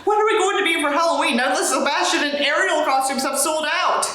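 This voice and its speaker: A woman's voice: sits high, fairly quick, pushed and bright, pitched above the performer's own voice. A woman voicing a casual young man friend, offhand and matter-of-fact. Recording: very echoey room.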